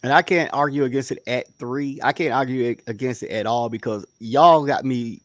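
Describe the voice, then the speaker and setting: A man's voice: medium pitch, moderate pace, dry recording. A man co-hosting a casual debate, arguing bluntly and insistently and repeating himself for emphasis. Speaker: panel show co-host.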